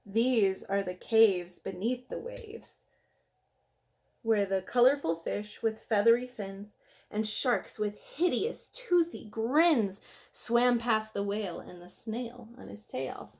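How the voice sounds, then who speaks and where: A woman reading a picture book aloud to young children in an expressive way, with dramatic emphasis, speaking straight to her listeners. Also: medium pitch, takes it slowly, gravelly. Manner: addresses young listeners directly with questions.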